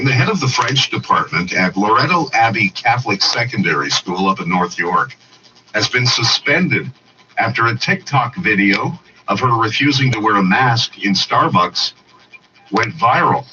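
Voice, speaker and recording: Low-voiced Canadian newsman, muffled and noisy. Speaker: radio news anchor. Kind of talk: news reading with casual co-host chat. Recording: dull, noisy radio rebroadcast, distant.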